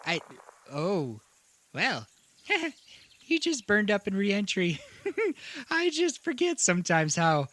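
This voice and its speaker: A performer voicing an excitable, bumbling halfling who huffs, exclaims and giggles in an audio drama. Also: mid-range voice, no echo, heavily acted. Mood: gleeful. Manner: exclaiming, huffing, giggling, swooping. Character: excitable, bumbling halfling storyteller companion named Solomon. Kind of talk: audio drama acting, muttering to self.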